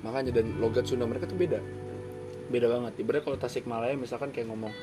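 Quick, slangy young Javanese man, podcast co-host, music playing behind. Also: mid-range voice. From Java. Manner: fast and slangy, swears often. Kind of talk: casual chat.

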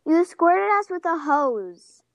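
High voice, close dry sound, woman acting as plaintive little schoolgirl, amateur audio play. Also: very animated, takes it slowly, no room sound, full character voice. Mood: aggrieved. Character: Susan, plaintive treat-loving little schoolgirl.

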